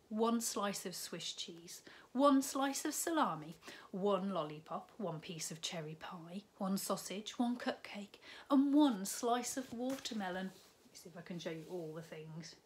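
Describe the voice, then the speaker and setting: A woman, a primary school teacher reading a picture book aloud to children from her living room. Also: medium pitch. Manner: slow, expressive storytime reading with lively rises on key words. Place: living room at home, indoors.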